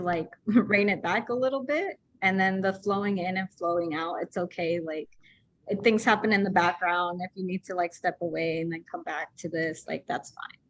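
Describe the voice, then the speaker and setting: A woman taking part in an online workshop, speaking casually and tentatively as she thinks aloud over a video call. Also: medium pitch. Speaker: workshop participant. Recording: dull, lo-fi video call audio.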